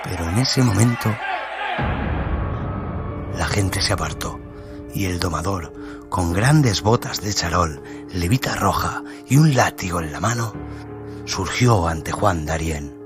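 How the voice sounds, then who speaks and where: Narrador de voz muy grave. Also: male voice, sits low, animated, slow, pauses often. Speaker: storyteller, narrator. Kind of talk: dramatic scripted story narration. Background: loud music bed.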